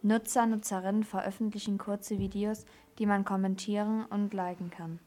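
A woman's voice: medium pitch, little pitch movement, normal speed, mellow. A woman presenting on a children's radio programme, reading a scripted factual report.

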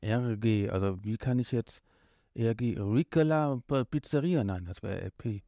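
A man's voice: fairly low pitch, moderate pace, warm tone, no echo. Guy joking around on a podcast, playful, close dry sound, a bit dull. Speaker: podcast host. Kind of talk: casual, joking podcast chat.

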